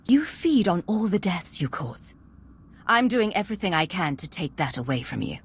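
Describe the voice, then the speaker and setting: A woman's voice: on the low side, sing-song, normal speed. A woman playing a steadfast, principled agent commander in a sci-fi game, controlled and serious. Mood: defiant. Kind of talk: video game cutscene acting.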